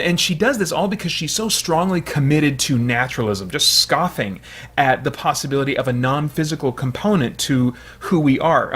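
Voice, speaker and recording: A man's voice: on the high side, fairly bright tone, husky. A man hosting a channel, giving casual, partly read commentary in a hesitant, thoughtful, explanatory way.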